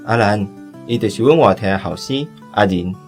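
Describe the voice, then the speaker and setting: An actor playing a practical middle-aged cinema tradesman in a radio drama, measured and matter-of-fact, with a Taiwanese accent. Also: fairly low pitch, soft background music, natural voice.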